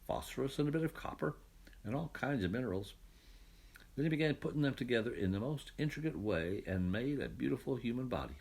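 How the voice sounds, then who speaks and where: A man narrating a scripted children's story as an audiobook reader, in an expressive storytelling manner. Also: on the low side, slightly rough.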